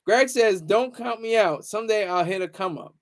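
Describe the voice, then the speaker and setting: High-pitched man chatting casually on a livestream. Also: normal speed. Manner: rambling, repeats phrases for emphasis. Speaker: livestream host. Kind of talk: casual livestream chat with viewers.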